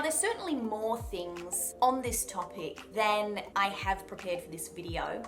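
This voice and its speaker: A woman with an Australian accent hosting a video, chatting informally through tips in an upbeat, emphatic way. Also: medium pitch. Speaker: video host, accent tutor. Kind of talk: chatty scripted travel tips to camera.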